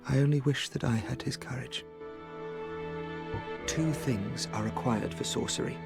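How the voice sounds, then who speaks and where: Earnest sorcerer, formal and courteous, creaky voice, game dialogue over music. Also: male voice, medium pitch, measured pace. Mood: earnest, reflective. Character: polite, earnest male sorcerer, grateful and scholarly. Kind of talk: video game character dialogue.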